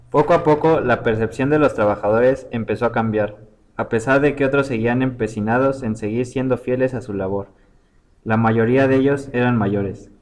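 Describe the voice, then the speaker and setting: A man reading a scripted short story aloud as its storyteller. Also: mid-range voice.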